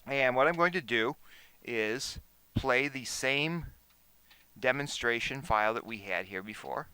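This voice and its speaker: Male instructor, clear crisp voice, dry close recording, explanatory demo delivery. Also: medium pitch, a little slow, bright, forceful tone, dry recording. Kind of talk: explanatory software demonstration.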